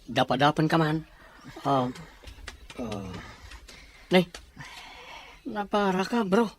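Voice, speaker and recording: A woman's voice: low-pitched, very animated, slow pace, a little hiss or hum, full character voice. A woman voicing a helpful, brotherly male office co-worker in an audio drama. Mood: caring, urging. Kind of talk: Ilocano audio drama acting.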